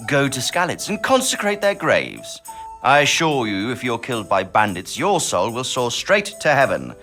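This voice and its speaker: A man playing a measured, dryly wry middle-aged medieval lord in a dramatic video game scene. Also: medium pitch, sharp tone. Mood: dry sarcasm.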